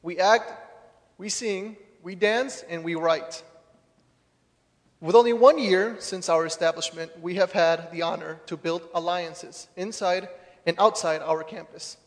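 A man's voice: sits high, a little slow. A young man giving a prepared speech to an audience as a student leader. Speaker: student group president. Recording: long hall reverb.

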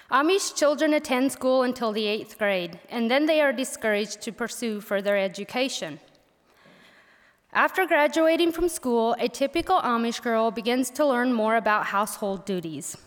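A young woman from Missouri, a native Pennsylvania Dutch speaker with that accent, reading her prepared senior speech at a college assembly with gentle wry humor. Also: on the high side. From Missouri, USA. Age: young adult. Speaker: graduating college student. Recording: echoey auditorium sound.

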